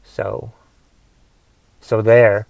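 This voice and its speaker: A man in his forties with a raspy voice from a cough, casually rambling through a movie review on his podcast and thinking aloud with lots of fillers. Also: lowish voice, flat delivery, extremely slow, a little mellow, no echo, poor audio. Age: 40s. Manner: thinks aloud, musing questions, trailing fillers. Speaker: podcast host.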